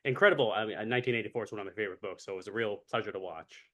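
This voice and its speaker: A man's voice: on the low side, very fast, fairly bright tone. A man interviewing a film director, asking long, friendly, multi-part questions.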